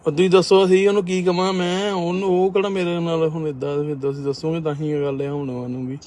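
A man's voice: a little high, little pitch movement, fast, darkish tone. A young man from Punjab, speaking in an amateur recording. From Punjab, India. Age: young adult. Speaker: complainant. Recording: roomy echo, noisy amateur recording.

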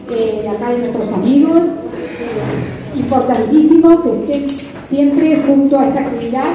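A woman's voice: high voice, noisy background. A middle-aged woman with a Rioplatense Argentine accent giving a conversational instructional talk on a handheld microphone, often checking in with her listeners. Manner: conversational, checking in with listeners using question tags. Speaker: kayak fishing safety instructor. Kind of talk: live instructional talk to an audience. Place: large hard-floored club hall with seated audience. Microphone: handheld microphone over PA, recorded by a distant camera. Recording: echoey hall PA, distant, crowd murmur. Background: audience murmur.

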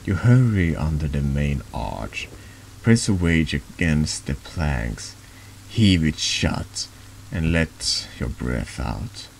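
A man with a deep voice reading horror narration slowly and haltingly in an ASMR style, over background noise. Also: very animated, creaky, rough recording. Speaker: vtuber reading a text game aloud.

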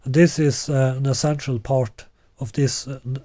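A man in his sixties presenting a product in an unscripted way, halting and deliberate with long hesitations. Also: mid-range voice, fairly even intonation, mellow, no room sound. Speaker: loudspeaker designer presenting a product. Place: small living room, indoors.

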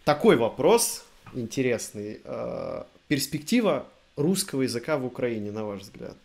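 A young man hosting an interview over a video call, asking calm, measured questions. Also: medium pitch, creaky. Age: young adult. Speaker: interviewer, host. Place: indoor room with framed pictures on wall. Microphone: webcam on video call.

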